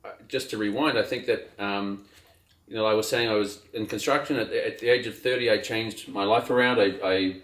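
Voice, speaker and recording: A middle-aged man speaks as an interview guest, low in pitch and unhurried, stopping and restarting his thoughts with plenty of fillers. The sound is roomy and echoey. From New Zealand. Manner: relaxed, hesitant, many fillers and restarts. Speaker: guest, sailing captain. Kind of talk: casual interview chat. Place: outdoors on a trip.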